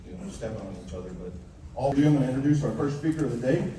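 A man's voice: medium pitch, big pitch swings, rapid-fire, warm tone. A man making informal announcements to an audience and introducing a speaker. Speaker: event organizer. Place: large event hall. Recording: echoey PA in a big hall from far off, crowd noise.

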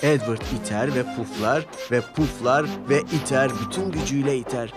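A man's voice: mid-range voice, moderate pace. A man reading scripted narration for a children's story. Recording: music behind the voice.

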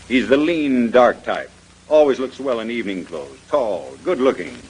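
Husky museum gentleman. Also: medium pitch, animated, moderate pace, rough recording. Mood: confiding. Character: fussy, opinionated museum doctor, gentlemanly and helpful. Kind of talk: 1930s radio mystery acting.

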